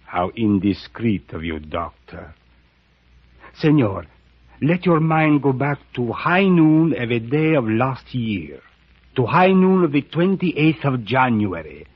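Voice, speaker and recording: Smooth sinister high priest, mock-courteous and pompous, muffled vintage radio sound. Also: male voice, sing-song, takes it slowly, exaggerated voice. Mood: quietly menacing. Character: suave menacing Indian high priest, courteous and cryptic. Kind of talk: old-time radio drama acting.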